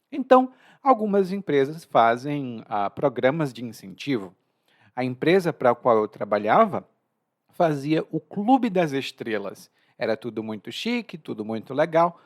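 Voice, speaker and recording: A man with a Brazilian Portuguese accent hosts a podcast lesson. He is chatty and expressive and slows down to stress key words. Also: medium pitch. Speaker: language teacher and podcast host.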